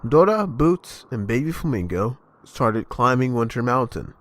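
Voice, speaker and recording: A man reading a children's story aloud as narrator in an animated, expressive way. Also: medium pitch, measured pace, dry recording.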